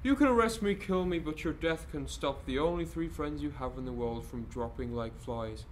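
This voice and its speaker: A man's voice: fairly high pitch, moderate pace, slight background noise, close to an everyday voice. A man playing a bored, taunting, unhinged criminal mastermind in an amateur audio drama, theatrical and mocking. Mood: manic, menacing. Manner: theatrical, mocking, swooping between hush and outburst.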